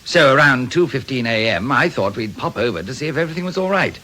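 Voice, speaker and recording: An actor playing a forthright, protective husband answering police questions frankly in a radio crime drama. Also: male voice, mid-range voice, expressive, quick pace, bright, forceful tone. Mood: matter-of-fact. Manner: frank, matter-of-fact.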